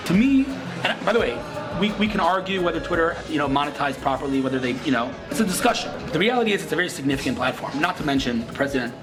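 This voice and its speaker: A man vlogging and interviewing a guest in a quick, chatty, enthusiastic way full of asides. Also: on the high side, expressive, music in the background. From Israel. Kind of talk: casual vlog chat and interview.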